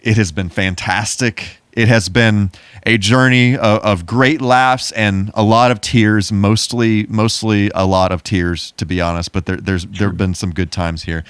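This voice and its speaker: A man with a fairly low voice talks casually as a podcast co-host. He has a jokey, mock-grandiose way with his listeners. The sound is close and dry, with no room on it. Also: steady tone, measured pace, a bit bright, clean tone, dry recording.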